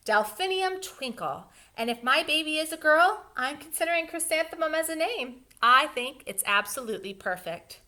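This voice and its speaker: A woman voicing a kindly, dreamy woman music teacher in a storybook, deliberate and expressive. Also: sits high, voice pushed up. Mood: proud, reassuring.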